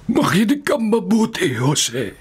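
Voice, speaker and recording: A man's voice: high-pitched, huge pitch swings, a little slow, full character voice. An actor playing a dying, devout old father in a radio drama, solemn and weak. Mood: weary, solemn. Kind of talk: Filipino radio drama acting. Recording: radio drama with music underneath.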